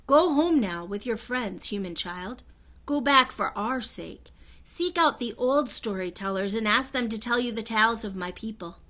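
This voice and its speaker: A woman voicing a regal fairy queen in a children's storybook, proud and solemn, with a high, clear, stately voice. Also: animated, moderate pace, sharp tone. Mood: earnest appeal. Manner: stately, declamatory. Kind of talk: children's storybook read-aloud.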